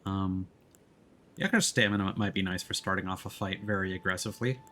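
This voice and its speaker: A man giving casual, explanatory let's-play commentary as a game streamer, talking in a halting way. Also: low voice, bright, forceful tone. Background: background music.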